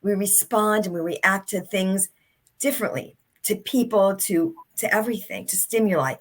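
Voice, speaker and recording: A woman's voice: medium pitch, normal speed. Earnest, reflective middle-aged woman guest. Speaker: author and speaker, guest. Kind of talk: reflective interview conversation.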